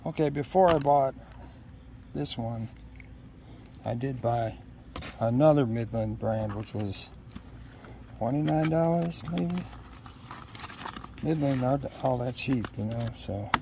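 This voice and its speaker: A man's voice: medium pitch, slow pace, creaky, long pauses. A middle-aged man chatting casually outdoors, musing to himself in short, hesitant fragments as he unboxes gear. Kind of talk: casual unscripted unboxing chat. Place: outdoors in a leafy yard. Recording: outdoor ambient noise behind voice.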